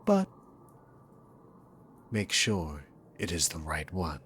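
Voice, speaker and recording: A man's voice: sits low, wildly swooping pitch, slow pace, a bit bright, a little hiss or hum, heavily acted. A man voicing a dominant, sarcastic demon king in an ASMR roleplay, taunting the listener with theatrical, arrogant condescension. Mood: menacing.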